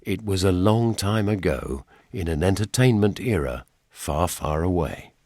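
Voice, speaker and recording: A man's voice: low voice, lively intonation, measured pace, darkish tone, slight background noise. A man with a British accent presenting a scripted radio documentary in a wry, dryly humorous way. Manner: wry, dry humour.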